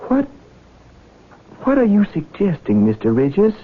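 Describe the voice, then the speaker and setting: An actor playing an earnest, troubled younger brother from a farm in a 1970s radio drama. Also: male voice, sits high, huge pitch swings, unhurried, exaggerated voice. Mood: shocked, defensive. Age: young adult. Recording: phone-like old radio broadcast, music behind.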